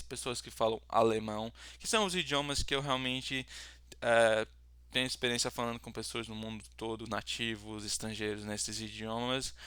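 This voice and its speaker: A young Brazilian man speaking with a Brazilian Portuguese accent. His voice is bright and crisp, and it sits dry and close to the mic. He talks like a tutor introducing himself, loose and rambling, full of fillers and restarts. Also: medium pitch, no room sound. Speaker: language tutor. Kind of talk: casual self-introduction to camera.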